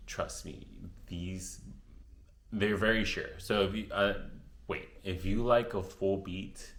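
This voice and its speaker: Male beauty vlogger, very low voice, unhurried casual chat, echoey room. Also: a bit bright. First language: Spanish. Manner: chatty, rambling, self-correcting. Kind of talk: casual makeup tutorial chat.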